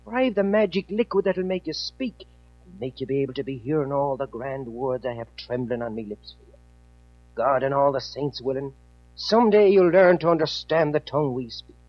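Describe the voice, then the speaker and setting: A man's voice: fairly high pitch, lively intonation, moderate pace. An actor playing a young Irishman in love in a 1940s radio play, speaking with an Irish accent in an earnest, pleading way. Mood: tender. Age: young adult. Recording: dull old radio recording, faint music.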